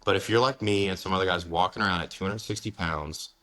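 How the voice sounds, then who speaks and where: A man in his forties from the United States speaks in a deep, low register and at a quick clip. He is frank and casual as he talks through a long-form interview, leaning on "you know" and "man". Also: fairly bright tone. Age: 40s. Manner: blunt, candid, peppered with "man" and "you know". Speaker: guest, fitness coach.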